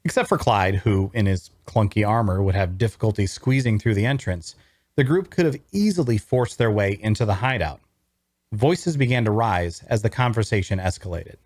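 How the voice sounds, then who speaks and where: A man's voice: fairly low pitch, expressive, moderate pace, darkish tone, no echo, studio quality. A man playing a wry necromancer who narrates his own adventure, reading scripted first-person prose with dramatic storytelling. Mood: tense storytelling.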